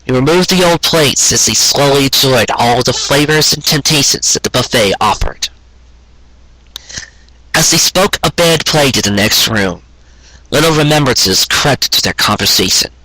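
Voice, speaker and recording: A man reading a sentimental story aloud in a measured, scripted narrating manner. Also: medium pitch, animated, pushed and bright, no echo, poor audio. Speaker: audiobook reader of fan fiction.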